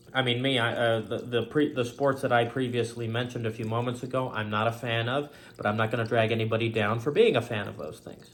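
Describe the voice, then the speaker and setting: Earnest rambling man, casual monologue. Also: mid-range voice. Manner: rambling, earnest, repeats stock phrases. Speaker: host of a faith-themed positive talk show.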